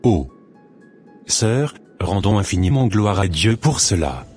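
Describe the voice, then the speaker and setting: Man voicing a deep-voiced preaching church brother. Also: big pitch swings, soft background music. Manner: slow, sermonizing, gently admonishing. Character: Brother David, a calm preaching church elder.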